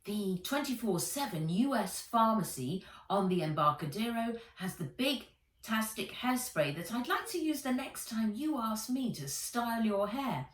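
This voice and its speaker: A woman's voice: on the high side, expressive, measured pace, voice pushed up. A woman storyteller puts on a helpful male dragon robot from a children's storybook. The robot speaks in exact, formal phrases with a loyal, logical temper. The sound is roomy and set back from the microphone. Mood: eager, efficient. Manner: formal, precise, robotic wording. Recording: roomy echo, distant microphone.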